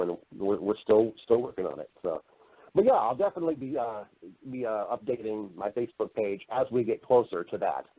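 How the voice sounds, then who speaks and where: Low-voiced man on a phone-like line. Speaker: interview guest, voice-over artist and actor. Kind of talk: casual interview chat.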